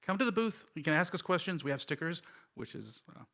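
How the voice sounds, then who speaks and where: Male co-presenter, informal, fairly high voice with heavy creak. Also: very animated, fairly quick, sharp tone. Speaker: conference co-presenter.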